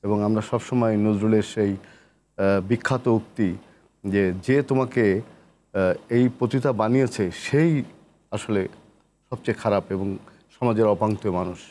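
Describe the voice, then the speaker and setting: A man's voice: on the low side, unhurried, pauses often, darkish tone. A Bangladeshi man hosting a television interview, putting questions and comments to his guest, with some background noise. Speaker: interview host, poet.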